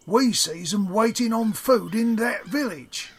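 A man's voice: high voice, expressive, a little slow, husky, full character voice. An actor playing a dim, plaintive Cockney henchman in an audio play, rambling in folksy bad grammar. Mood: matter-of-fact. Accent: Cockney English. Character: dim, grumbling male Cockney henchman from London.

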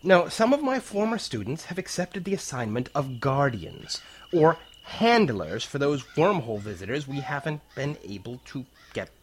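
An actor playing an enthusiastic, patient professor in an audio drama, explaining deliberately with pauses. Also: male voice, medium pitch, sing-song, close to an everyday voice. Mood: explaining, amused. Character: enthusiastic male professor who discovered time-travel wormholes, patient explainer.

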